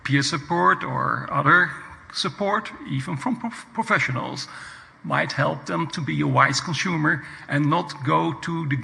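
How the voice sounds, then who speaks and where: A man making a deliberate, halting comment from a floor microphone at a conference. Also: on the high side, vocal fry, pushed and bright, in a large hall. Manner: deliberate, halting speech with pauses. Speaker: audience member at a conference. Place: large conference hall.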